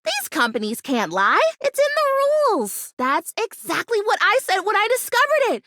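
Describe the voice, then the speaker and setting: A bubbly little fake houseplant from a video game, with a very high, lively voice that leaps around. She is naive and stubbornly hopeful, chattering emphatically with big dramatic flair. The sound is close and dry. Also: moderate pace, dry recording, exaggerated voice. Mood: excited. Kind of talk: animated video game character acting.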